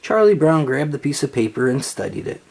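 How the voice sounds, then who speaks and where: An expressive storyteller reading a children's book aloud. The mid-pitched voice is slightly breathy and rises and falls in lively swings. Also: fairly quick.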